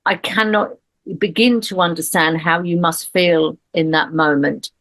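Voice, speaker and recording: A woman hosting a podcast interview, speaking in a measured, empathetic way. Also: lowish voice, fairly even intonation, fairly bright tone, dry recording. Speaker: podcast host. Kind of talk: conversational interview.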